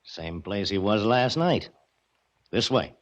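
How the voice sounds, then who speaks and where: A man's voice: fairly low pitch, expressive, slow. An actor playing a watchful, obedient manservant guarding his employer, terse, in 1940s radio drama style. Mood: guarded, terse. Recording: muffled old radio recording, hissy and clicky.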